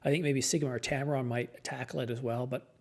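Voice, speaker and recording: A man's voice: medium pitch, fairly even intonation, a little fast, vocal fry, a little mellow. A tired man hosting a late-night live stream, chatting casually and answering viewer comments as his voice starts to go.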